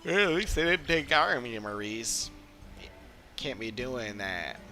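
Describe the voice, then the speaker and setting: A man streams a game with a bright, forward voice at a middling pitch. He rambles casually, thinking aloud as he goes. Faint game music plays behind him. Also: unhurried. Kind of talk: casual livestream commentary while playing a game.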